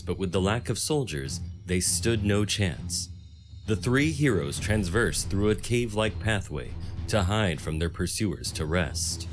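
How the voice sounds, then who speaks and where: A man narrating a scripted action story in a dramatic style. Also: lowish voice. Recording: music under the voice.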